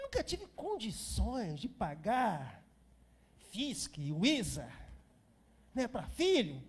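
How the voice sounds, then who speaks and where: High-pitched, husky Brazilian male preacher. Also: darkish tone. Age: 50s. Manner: repeats phrases for emphasis, conversational asides to congregation. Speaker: pastor. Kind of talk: informal church sermon.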